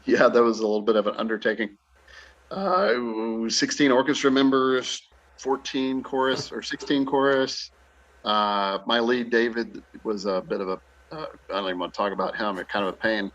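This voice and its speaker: A man's voice: mid-range voice, normal speed, a bit bright. A man, an actor and director, chatting casually as a guest in a joking, self-deprecating way. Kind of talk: casual chat. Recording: dull lo-fi audio.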